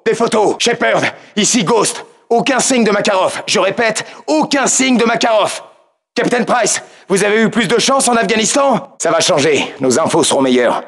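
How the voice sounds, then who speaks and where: An actor playing a gritty, commanding special-forces lieutenant in a video game, giving terse, clipped orders. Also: male voice, high voice, creaky, a bit bright, full character voice. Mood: controlled, stern. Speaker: squad leader. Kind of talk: video game combat voicelines, French dub.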